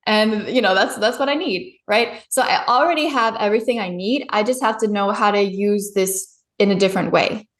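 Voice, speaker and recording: A woman's voice: medium pitch, normal speed, hardly any pauses. A woman pronunciation coach explaining her methods in a chatty, conversational way. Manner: chatty, explanatory, laughs easily. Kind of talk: conversational interview. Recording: roomy echo.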